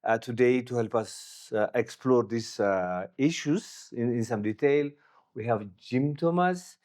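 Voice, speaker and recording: A man with a mid-pitched voice hosts a podcast interview. He encourages his guest and keeps up steady murmurs of agreement. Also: unhurried. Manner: encouraging, frequent backchannel murmurs. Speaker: podcast host. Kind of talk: interview hosting.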